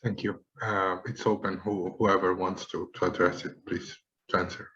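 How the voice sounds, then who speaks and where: A man's voice: sits low, little pitch movement, normal speed, a bit bright, muffled recording. A man moderating an online webinar and handing over to the next questioner, with many restarts, over a video call. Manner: hesitant, with repeated restarts.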